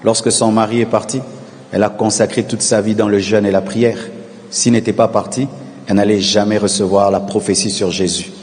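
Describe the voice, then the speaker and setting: A male pastor preaching a sermon, calling on the congregation to repeat after him and swelling into shouted refrains. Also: fairly low pitch, steady tone, a little fast, in a large hall. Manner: preaching with call-and-response, rising to shouted repetitions.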